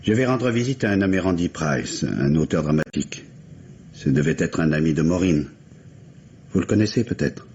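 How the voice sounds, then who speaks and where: An actor playing a restrained, grave and polite widower in a vintage radio mystery, speaking with reserve. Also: male voice, deep voice, expressive, moderate pace, darkish tone. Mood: grateful, sober. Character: courteous, restrained bereaved husband, grave and polite. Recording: dull, noisy old radio recording.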